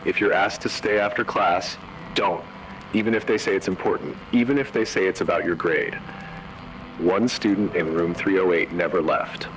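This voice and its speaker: A man voicing a grave emergency announcer in an analog horror video, giving measured, solemn warnings. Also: low voice, fairly even intonation, a bit bright, slight background noise, low-quality recording. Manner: measured, ominous, short solemn sentences. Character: grave male emergency broadcast announcer issuing eerie warnings. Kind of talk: analog horror scripted narration.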